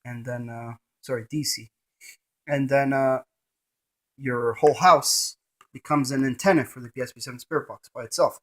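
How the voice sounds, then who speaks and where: A man's voice: medium pitch, steady tone, normal speed, fairly bright tone. A man presenting a casual hands-on gadget demonstration, narrating each step in short fragments.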